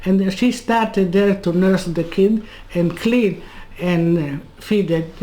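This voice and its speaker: A woman in her eighties from Serbia, telling her life story in an interview, in halting non-native English full of asides. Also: lowish voice, mellow. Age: 80s. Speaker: Holocaust survivor interviewee. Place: home room lined with bookcases. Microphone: webcam on a video call.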